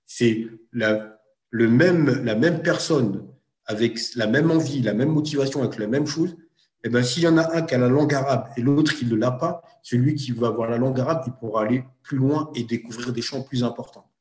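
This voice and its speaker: A man in a casual conversation, speaking thoughtfully and hesitantly, who pauses often and goes back over his points. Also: mid-range voice, a little mellow, roomy sound. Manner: thoughtful, hesitant, circles back and repeats himself. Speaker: guest, Arabic teaching project founder. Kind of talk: casual chat.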